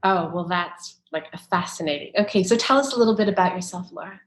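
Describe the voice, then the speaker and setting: A woman's voice: on the low side, fast, reverberant. A woman moderating an online panel, reading a prepared introduction and then welcoming guests. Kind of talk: moderating a video-call panel.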